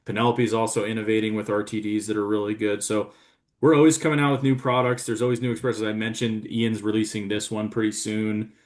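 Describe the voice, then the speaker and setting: A man's voice: lowish voice, level tone, fast. A man from New York, a brand representative chatting casually and rambling with lots of fillers. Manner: easygoing, rambling with many fillers. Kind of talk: casual chat.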